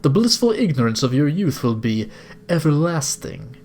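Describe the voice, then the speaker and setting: A man's voice: on the high side, very animated, moderate pace, a little mellow. A man voicing a serene, sinister elderly villain from a video game, with a put-on foreign accent and a formal, preaching, unctuous way of talking.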